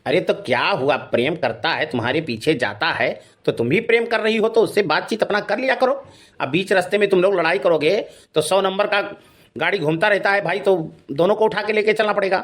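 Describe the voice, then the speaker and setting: Guy doing cartoon village cop, kinda high voice, officious, lecturing but reasonable. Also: lively intonation, a little fast, a bit bright. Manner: questioning, lecturing. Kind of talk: cartoon comedy dialogue.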